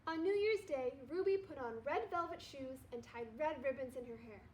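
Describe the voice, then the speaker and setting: Casting: female second grade teacher, high-pitched, storybook read-aloud with noticeable background noise. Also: animated, moderate pace, darkish tone.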